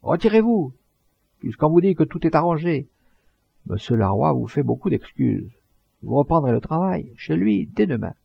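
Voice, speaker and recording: A man voicing a thin young orator who harangues a crowd in a booming, declamatory voice. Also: sing-song, unhurried, creaky, breathy edge, dry recording, heavily acted. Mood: rousing. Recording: dull dry recording.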